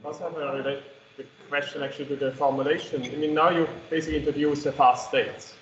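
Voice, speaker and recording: A man's voice: a little high, steady tone, fast talker, fairly bright tone, muffled recording, slight background noise. Man asking a question in a roomy hall. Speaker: audience member asking a question. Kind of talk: technical question.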